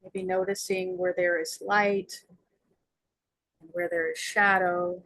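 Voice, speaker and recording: A woman leading a guided meditation as its guide, speaking in gentle, soothing invitations with long pauses. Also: on the low side, level tone, poor audio.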